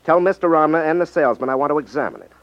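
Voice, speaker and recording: An actor playing a wry, cocksure magician detective in a 1950s radio drama. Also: male voice, fairly high pitch, expressive, very fast, dry recording. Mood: brisk, firm. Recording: dull, muffled 1950s radio recording.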